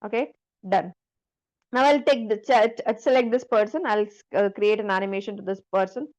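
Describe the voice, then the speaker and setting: A woman instructor talking through a screen-share software tutorial step by step, often checking that the listener follows. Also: mid-range voice, normal speed, lo-fi sound.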